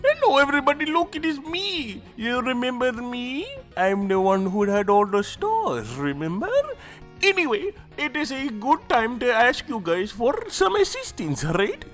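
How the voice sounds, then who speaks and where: A woman putting on a cheeky anime-skit mascot, high and swinging widely in pitch. The delivery is slow, halting and playful, over faint music. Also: very animated. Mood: playful pleading. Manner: halting, playful, tags phrases with "right?". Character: cheeky, rambling comic mascot begging viewers for support. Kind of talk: comic skit membership appeal.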